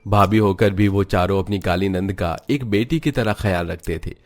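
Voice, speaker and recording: Low-voiced male story narrator. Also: normal speed, a little mellow. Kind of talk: scripted cartoon story narration.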